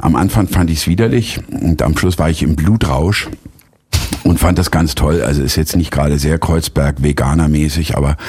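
A man in his forties with a very low, husky voice, chatting as an interview guest. He tells stories animatedly, laughing often and filling gaps with hesitations. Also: moderate pace. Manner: animated storyteller, laughs often, many fillers. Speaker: guest, actor and cabaret artist. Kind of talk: casual interview talk.

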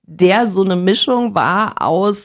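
A woman in her forties, a television presenter telling lively stories in a casual interview, laughing often and using many fillers. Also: medium pitch, slow pace. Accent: none, standard German with slight Berlin touches. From Bavaria. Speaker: TV presenter guest.

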